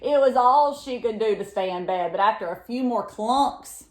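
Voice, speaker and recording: A woman's voice: fairly high pitch, moderate pace, echoey room. A woman reading a children's picture book aloud, expressively, with exclamations and chatty questions to her listeners. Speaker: read-aloud storyteller.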